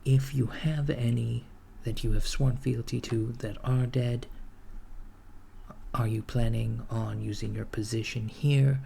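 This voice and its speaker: Henchman recruiter played by a man: soft near-whisper, unhurried, hesitant and matter-of-fact office manner, ASMR roleplay, noticeable background noise. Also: medium pitch, gravelly. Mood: polite, businesslike. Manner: hesitant, deadpan, reassuring office politeness. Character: calm, matter-of-fact villain's henchman recruiter, male, mildly awkward. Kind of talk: ASMR roleplay, soft-spoken job interview. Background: computer keyboard typing.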